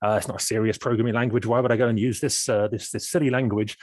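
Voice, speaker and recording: A middle-aged man telling stories in a webinar as a guest data scientist, acting out his quoted thoughts with big leaps in pitch. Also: fairly even intonation, quick pace, a little mellow, dry recording, clean studio sound. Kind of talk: animated storytelling in a webinar chat.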